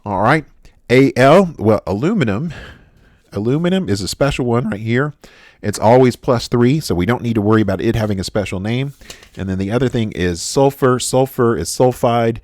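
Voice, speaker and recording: A chemistry instructor from the United States giving a recorded lecture, halting and full of "uh" fillers and restarts. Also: male voice, medium pitch, lively intonation, darkish tone, dry recording, studio quality.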